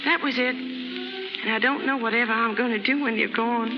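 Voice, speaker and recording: A woman's voice: fairly high pitch, expressive, moderate pace, over music. An actress playing a naive, lovelorn young chorus girl in a 1930s radio drama, plaintive and slangy, on a muffled old recording. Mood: wistful.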